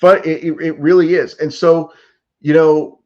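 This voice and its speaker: A man's voice: on the high side, flat delivery, a little slow, a little mellow, highs cut off. A man in his fifties from the United States, a political candidate answering interview questions in an earnest, urgent way, with frequent restarts and fillers. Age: 50s. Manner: earnest and urgent, many restarts and "like", "you know".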